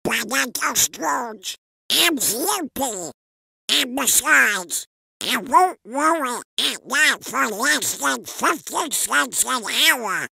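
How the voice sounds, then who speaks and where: A hot-tempered, put-upon cartoon duck, with a very sharp, crackling squawk. His garbled quacking comes out slowly and high, in a comic dub style. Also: lively intonation, creaky, slightly rough, dry recording, studio quality, full character voice. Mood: sleepy, irritated.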